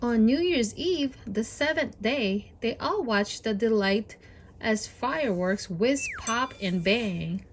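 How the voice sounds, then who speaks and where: A woman with a fairly high voice reads a children's storybook aloud. She takes her time, with very slow, deliberate phrasing. Her delivery is expressive and encouraging, pitched for young listeners.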